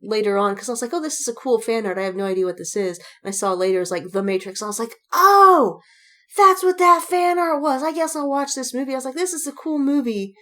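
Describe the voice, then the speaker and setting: A woman's voice: sits high, expressive, fast, bright, forceful tone. A woman co-hosting a podcast in casual chat, animatedly retelling things and quoting her own reactions. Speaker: podcast co-host. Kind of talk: casual chat about films and fandom.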